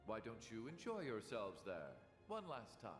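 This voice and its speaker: An actor playing a smug, courteous game villain with formal, theatrical delivery. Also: male voice, fairly low pitch, wildly swooping pitch, normal speed. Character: smug, courteous male villain granting a mocking reprieve. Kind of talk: video game voice acting. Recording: echoey hall with faint music behind.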